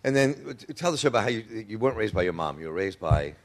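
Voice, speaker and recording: A male interviewer asking questions haltingly, with restarts. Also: lowish voice, big pitch swings.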